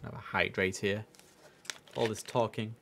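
A man's voice: lowish voice, fairly even intonation, a little slow, vocal fry, fairly bright tone. A young man muttering casual gaming commentary to himself, switching into clipped air traffic controller phrases. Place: indoor home streaming setup. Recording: noisy room with keyboard clicks.

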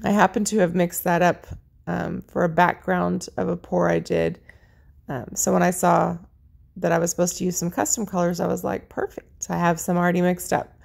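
A woman narrating her paint pouring video in a chatty, friendly way, her voice a little raspy. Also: lowish voice, flat delivery, normal speed, darkish tone. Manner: chatty, friendly, lists colors as she works. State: slightly raspy voice. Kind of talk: casual how-to narration over craft footage.

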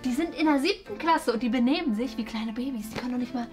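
A woman playing an exasperated teacher in a comedy sketch, complaining incredulously and whinily with drawn-out emphasis. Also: high voice, natural voice. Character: exasperated female teacher griping about pupils. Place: indoor room on a sofa. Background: music.

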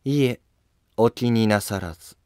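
A man voicing a dignified, gently firm empress with polite formal speech in a historical drama. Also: lowish voice, measured pace, no echo, studio quality, natural voice. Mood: gently scolding. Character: dignified empress consort, composed, gently scolding wife. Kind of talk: scripted historical drama dialogue.

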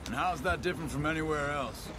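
An actor playing a plain-spoken, thoughtful outlaw drifter with an American accent in a western game. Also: male voice, fairly high pitch, close to an everyday voice. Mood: dry, challenging. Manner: measured, matter-of-fact. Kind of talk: cinematic western game acting. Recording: music and horse hooves behind.

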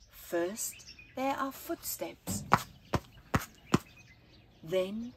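A middle-aged woman reading a picture book to children expressively, with deliberate dramatic pauses between short phrases. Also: mid-range voice, background noise. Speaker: children's storytime host. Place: outdoors in an open gazebo at a flower farm. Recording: outdoor recording with birdsong behind. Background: birds chirping.

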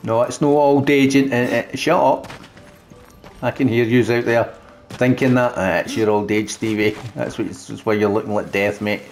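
A man's voice: mid-range voice, fairly even intonation, moderate pace, darkish tone, faint music behind. A Glaswegian man casually commentating his gameplay, crude and joking, talking to himself and his viewers. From Scotland. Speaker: gameplay streamer. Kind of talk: casual let's-play commentary.

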